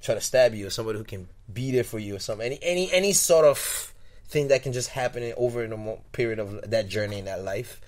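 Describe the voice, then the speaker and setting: A man's voice: medium pitch, quick pace. A young man from Togo, a podcast guest, talking in a rambling, reflective way with many restarts and repeated words. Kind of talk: casual interview.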